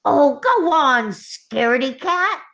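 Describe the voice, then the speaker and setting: Woman playing a high, excitable old gnome scientist. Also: slow, creaky, muffled recording, exaggerated voice. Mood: excited, impatient. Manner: impatient, self-important, fussy. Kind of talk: animated table-read acting.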